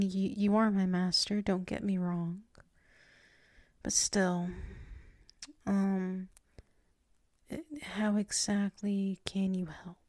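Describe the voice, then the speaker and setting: Woman playing a slow, creaky, flustered tsundere werewolf girl. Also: mid-range voice, darkish tone, dry recording, clean studio sound. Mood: flustered, protesting. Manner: halting, flustered stammering, often slipping into whispers. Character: sleepy tsundere female werewolf, submissive yet stubbornly proud. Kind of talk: ASMR roleplay, intimate soft speech.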